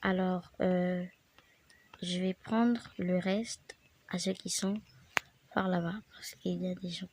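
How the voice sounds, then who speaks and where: A woman's voice: fairly low pitch, steady tone, slow pace, pauses often, background noise. Chatty woman with a head cold. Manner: rambling, chatty, with whispered asides. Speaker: gaming video creator. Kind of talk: casual game tutorial chat.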